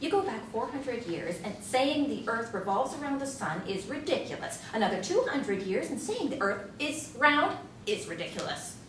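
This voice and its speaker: A woman's voice: on the high side, sing-song, moderate pace, few pauses. A woman playing an indignant, compassionate middle-aged mother scolding her family in a staged comedy play reading. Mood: pleading, firm. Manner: emphatic, scolding. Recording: roomy, distant stage recording with noise.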